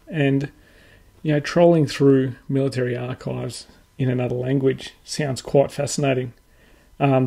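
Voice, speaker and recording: A man hosting a history podcast, asking questions haltingly with many fillers and dry asides. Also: mid-range voice, gravelly, a little mellow, slightly rough. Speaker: podcast host. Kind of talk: interviewing.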